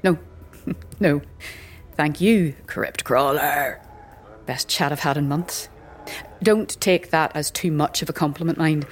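A woman's voice: deep voice, slow pace. A woman playing a sardonic older seer and teacher in a fantasy audio drama, dry, wry and gossipy. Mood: wry, amused. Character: sardonic older female undead-philosophy tavern seer and teacher.

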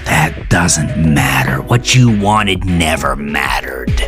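A narrator voicing a menacing, mocking male killer in a horror audio drama, taunting and deliberate. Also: very deep voice, animated, full character voice. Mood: coldly dismissive. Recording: music bed behind voice.

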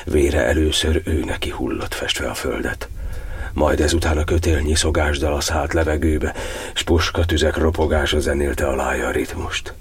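A very deep, solemn imprisoned cardinal. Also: male voice, steady tone, breathy edge, no echo, full character voice. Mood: solemn remembrance. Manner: measured, poetic, declamatory delivery. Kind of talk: verse monodrama, theatrical radio-play declamation.

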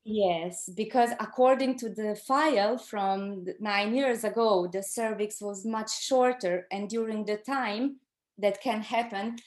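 A middle-aged woman with a Croatian accent and a mid-pitched voice. She speaks as a guest sharing her own experience in conversation. Her English is a second language, and she often halts to find the right word. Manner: halting, searching for words in second-language English. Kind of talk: conversational personal storytelling.

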